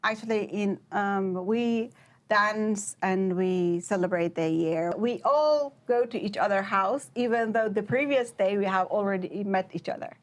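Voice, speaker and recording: A woman's voice: mid-range voice, measured pace. Hesitant young woman. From Iran. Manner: hesitant, many fillers and restarts. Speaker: PhD researcher, guest. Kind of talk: casual interview answers.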